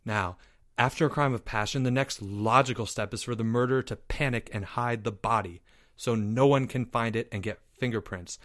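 A man voicing an accusing schoolboy in a story, interrogating in a deliberate, commanding way. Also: mid-range voice, a bit bright, dry recording, studio quality, pitched below the performer's own voice, lightly acted. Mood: cool, suspicious. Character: stern, accusing schoolboy playing detective.

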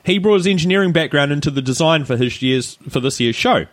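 A man's voice: a little high, expressive, fast, no echo. A man co-hosting a podcast, chatting casually, joking, teasing and laughing often. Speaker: podcast co-host. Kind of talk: casual chat.

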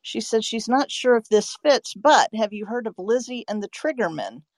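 A woman's voice: a little high, lively intonation, moderate pace, no echo. Woman interviewer with a very dry sound. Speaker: interviewer, host. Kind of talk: conversational interview questions.

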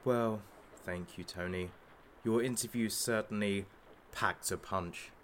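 A man's voice: lowish voice, fairly even intonation, takes it slowly, slight background noise. An actor playing a masked hostage-taker who runs a talk show, gleefully cruel, sarcastic and showman-like, in an audio drama. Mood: cold contempt.

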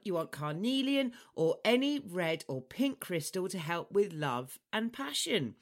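A woman hosting a podcast in a chatty, playful style, with a cheeky, theatrical and expressive delivery. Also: medium pitch. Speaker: podcast host.

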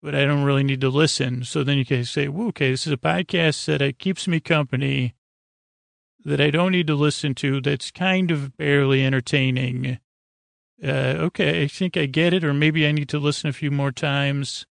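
An American man hosting a sleep podcast, rambling in a low-key, halting way and trailing off mid-thought. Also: steady tone, vocal fry, dry recording, studio quality. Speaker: podcast host.

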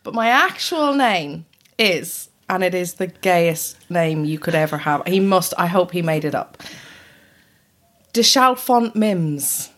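A woman's voice: lowish voice, lively intonation, slow, fairly bright tone. A woman in her thirties with a mixed English and Irish accent, telling family stories in casual chat and laughing often. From born in the US, raised in England and Ireland.

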